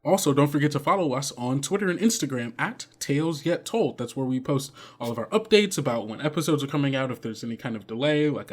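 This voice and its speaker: A man narrating a tabletop game as game master, talking in a relaxed, earnest way with plenty of fillers, then reading out podcast announcements. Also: mid-range voice.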